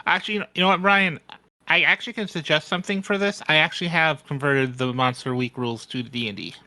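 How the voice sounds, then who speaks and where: A man's voice: fairly high pitch, fast talker, sharp tone. A man playing a tabletop roleplaying game, talking casually and hesitantly as he thinks aloud.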